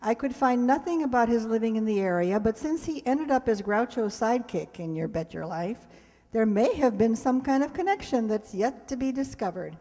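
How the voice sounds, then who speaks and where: A woman's voice: fairly high pitch, lively intonation, normal speed, warm tone. A woman hosting a live show on stage, addressing an audience in an informal way with ad-libbed asides. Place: hall before a live audience. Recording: hall reverb, live stage recording.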